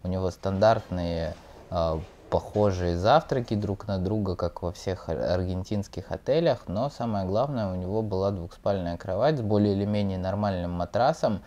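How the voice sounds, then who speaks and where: A man's voice: deep voice, normal speed, dark tone. A man in his thirties casually narrating a road trip as a vlogger, pointing out the sights around him. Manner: easygoing, pointing things out. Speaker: travel vlogger. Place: outdoors by a roadside gas station.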